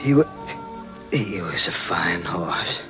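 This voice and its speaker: A man playing a wounded, boastful outlaw in a 1950s radio western, breathy and halting, with a rural American drawl. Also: deep voice, expressive. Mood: regretful, weary. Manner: halting, laboured, folksy drawl. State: weak, gravely wounded, acted. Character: dying, boastful male outlaw, stubborn and desperate. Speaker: supporting actor in a radio western. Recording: dull vintage radio recording, music behind.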